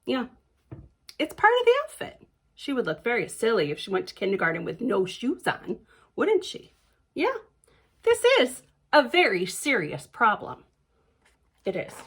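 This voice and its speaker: High voiced lady doing kids storytime, super up and down, takes it slow, stretches words out, asks little questions. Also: breathy edge. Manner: expressive, drawn-out words, dropping to whispers, asks young listeners questions. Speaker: library storytime reader. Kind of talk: children's picture book read-aloud with chatty asides.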